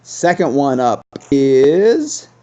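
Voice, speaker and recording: A man gives casual video game commentary in a soft, relaxed voice at an ordinary male pitch. He thinks aloud in a conversational way as he reviews. The sound is a little dull and lo-fi. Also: drawn-out words. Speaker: gaming channel host.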